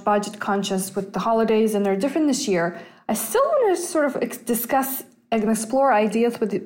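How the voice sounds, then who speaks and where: Woman hosting a podcast, higher voice. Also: a little mellow. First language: Russian. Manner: easygoing, talks as ideas come to her. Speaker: podcast host. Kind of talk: solo finance podcast, thinking aloud.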